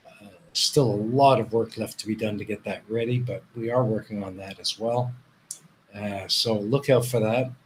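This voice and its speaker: A man's voice: fairly low pitch, steady tone, moderate pace, a little hiss or hum, rough recording. A man who grew up in Canada with Dutch as his first language, hosting a casual live stream, rambling and repeating himself as he reads viewers' chat.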